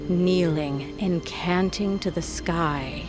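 A low-voiced, middle-aged mother in a fantasy audio drama. She is solemn and grieving, speaking with measured weight as if handing down an old tale, with music clearly underneath. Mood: solemn, reverent. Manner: measured, weighty, storytelling delivery. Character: grieving, solemn mother, proud wolf queen's daughter.

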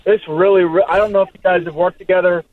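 Male phone-in caller, notably high register, thin telephone sound, casual and vividly descriptive. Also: steady tone, fast, a loud crowd. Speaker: radio show caller. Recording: muffled narrow phone line.

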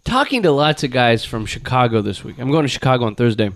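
A man's voice: medium pitch, lively intonation, fast talker. A man co-hosting a podcast, rambling in casual, crude, joking chat. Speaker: podcast co-host.

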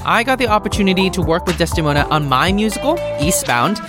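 Host doing the scripted show intro, steady voice, theme music loud underneath. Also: medium pitch, fast. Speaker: podcast host.

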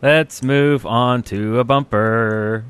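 A man's voice: mid-range voice, fairly even intonation, slow pace, smooth. A man hosting a casual, jokey podcast chat, playfully repeating words as he goes. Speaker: podcast host.

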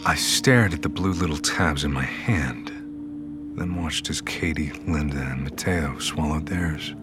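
Very deep, somber male narrator. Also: unhurried. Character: somber man recounting his own ordeal. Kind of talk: scripted first-person narration. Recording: loud music under narration.

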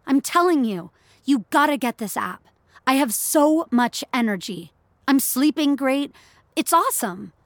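A woman's voice: high voice, animated, measured pace, dry recording, pitched above the performer's own voice. A woman narrator voicing an eager young woman fitness convert in an audio story, casual and enthusiastic.

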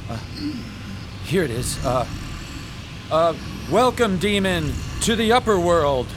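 Earnest, nervous, high-voiced underdog. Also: male voice, very animated, takes it slowly, background noise, exaggerated voice. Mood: awed, flustered. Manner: earnest, hesitant. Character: timid, nervous male comic book shop owner, newly defiant. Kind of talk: radio drama acting.

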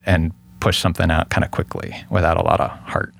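A middle-aged man speaking as an interview guest in a casual, thoughtful, halting way, often hedging what he says. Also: low-pitched, level tone, gravelly, no echo, studio quality. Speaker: guest, radio producer.

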